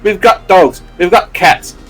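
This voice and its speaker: A man's voice: high voice, a little slow, fairly bright tone, soft background music, pitched above the performer's own voice. A man voicing a folksy shelter presenter with a mock Australian accent and exaggerated sales patter. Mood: eager, salesy.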